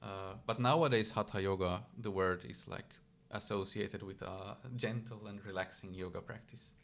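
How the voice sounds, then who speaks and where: A man's voice: lowish voice, fairly even intonation, normal speed, vocal fry, fairly bright tone. A Finnish man whose first language is Swedish, a yoga expert guest explaining ideas step by step in casual conversation. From Finland. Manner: thoughtful, explains step by step with small restarts.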